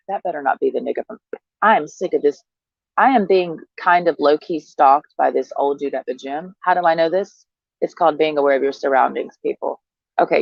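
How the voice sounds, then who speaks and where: A woman in her thirties talks straight to camera, brash, profane and sarcastic, laughing between her lines. The sound is dry and close, a little dull in the highs. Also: vocal fry, dry recording. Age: 30s. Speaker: vlogger. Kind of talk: casual monologue to camera.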